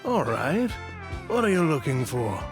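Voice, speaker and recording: A creaky old shopkeeper, indulgent and grandfatherly. He speaks slowly in a lightish elderly voice, as in an anime game. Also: on the high side, sing-song, music in the background. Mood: obliging. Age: older. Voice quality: gravelly.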